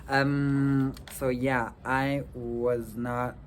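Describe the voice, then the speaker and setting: A man whose first language is French talks slowly and haltingly to a phone on a tripod. His pitch sits in the middle range, and he fills his pauses with ums. Wind noise and outdoor ambience rush over the phone mic. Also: level tone. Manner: halting, many ums and restarts. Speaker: vlogger. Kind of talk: casual talk to camera.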